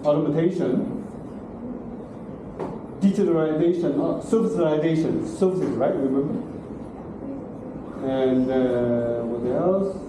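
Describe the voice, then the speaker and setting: A man's voice: on the high side, slow pace, pauses often. A middle-aged man giving a university lecture in broken English, checking in often with "okay?" and "right?". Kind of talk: classroom lecture. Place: large classroom. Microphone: far room camera. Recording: very echoey classroom from far off, noisy.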